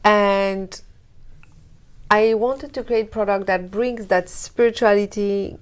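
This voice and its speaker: A woman from Morocco, a guest speaking slowly and softly in an interview, drawing out her phrases with breathy trailing words. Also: medium pitch. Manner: slow and deliberate, drawn-out phrases with soft breathy trailing words. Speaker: guest, wellness brand founder. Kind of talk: slow, soft-spoken interview talk.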